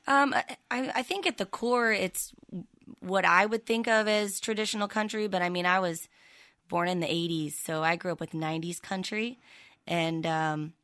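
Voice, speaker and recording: A woman country singer from the American Midwest, with a lower speaking voice, telling stories chattily with many fillers. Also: normal speed, fairly bright tone, no room sound, studio quality. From Midwestern United States. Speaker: country singer, interview guest. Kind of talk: conversational interview.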